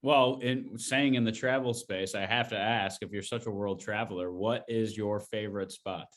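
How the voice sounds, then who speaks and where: A man's voice: fairly low pitch, steady tone, normal speed, fairly bright tone. A man co-hosting a business podcast, asking questions in an easygoing, enthusiastic way. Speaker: podcast co-host.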